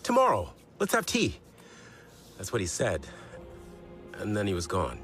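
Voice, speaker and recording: Low, grief-stricken man. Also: huge pitch swings, a little slow, a bit bright, soft background music, full character voice. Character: grief-stricken man mourning a lost companion. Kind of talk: video game character acting.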